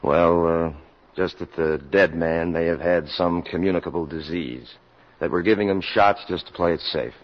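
A man's voice: low-pitched, lightly acted. A man acting as a commanding, insistent public health doctor in a 1950s American radio drama. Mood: brisk, commanding. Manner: brisk, authoritative, insistent. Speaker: actor. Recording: dull vintage 1950s radio recording.

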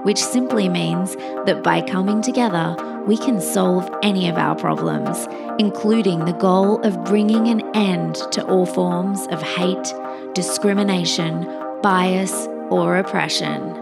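A woman reading a scripted show statement in a measured, declarative way. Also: lowish voice, creaky. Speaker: show announcer. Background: loud music.

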